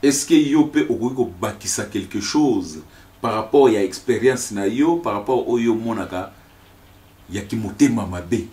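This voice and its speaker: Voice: medium pitch, animated, moderate pace, dark tone. A host who leads the discussion, thanks the guests and drops in short sounds of agreement. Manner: interjects with short agreeing sounds, switches between Lingala and French. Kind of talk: hosting a panel discussion.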